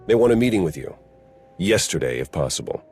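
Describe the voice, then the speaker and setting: An actor playing a wry, irreverent aide to a Sith lord in a video game, speaking in a dry, sardonic way. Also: male voice, low voice, sing-song, a little fast, creaky, darkish tone, exaggerated voice. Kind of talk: video game cutscene acting.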